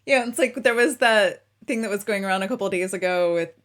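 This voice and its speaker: A woman hosting a casual interview, relaxed and easygoing with casual slang. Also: mid-range voice, fast. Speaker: interviewer, host. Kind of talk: casual conversation.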